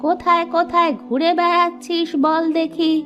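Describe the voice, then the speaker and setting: A woman plays an old, ailing village mother from a comic cartoon. Her voice is high and drawn out as she nags and complains. Music runs underneath. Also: monotone, normal speed, fairly bright tone, muffled recording. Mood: nagging. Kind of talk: Bengali comic cartoon dialogue.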